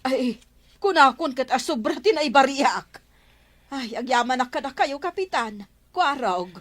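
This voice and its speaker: A woman's voice: sits high, expressive, a little slow, a bit bright. A woman acting a talkative village shopkeeper in an Ilocano comedy drama. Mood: relieved. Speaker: radio comedy actor.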